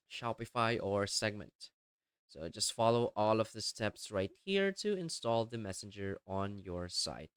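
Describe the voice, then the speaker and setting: Low, clear-voiced man giving a tutorial. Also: sharp tone, no echo. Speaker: tutorial presenter. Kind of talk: step-by-step software tutorial voice-over.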